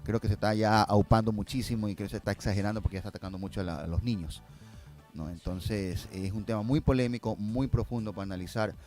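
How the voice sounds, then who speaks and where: A man's voice: on the low side, fast, a little mellow, soft background music. A man chatting casually as a guest, often thinking aloud and repeating himself. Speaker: guest filmmaker. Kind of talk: casual conversational interview answers.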